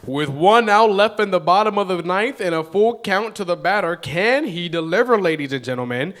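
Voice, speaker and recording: A cocky teenage boy who brags and snaps at his friends, read by a man. The voice sits high and keeps a boastful, bossy edge. It comes from a live script table read. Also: lively intonation, normal speed, fairly bright tone. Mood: mock announcer. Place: live venue stage.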